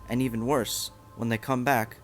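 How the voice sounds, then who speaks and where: A man's voice: medium pitch, level tone, moderate pace, natural voice. A man playing a grim masked vigilante and a smug crooked lawyer in an amateur superhero radio play. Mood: smug, orating. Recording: music behind the voice.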